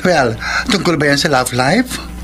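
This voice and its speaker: Flamboyant, lively young Filipino man. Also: a little high, a little slow, a little hiss or hum. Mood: teasing. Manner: campy, playful, exclamatory. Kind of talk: Filipino radio drama acting.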